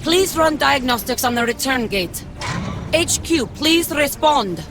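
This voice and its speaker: A woman's voice: high-pitched, lively intonation, unhurried, music in the background. A woman playing a disciplined military mech commander in a video game, giving formal, clipped radio reports. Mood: urgent, firm.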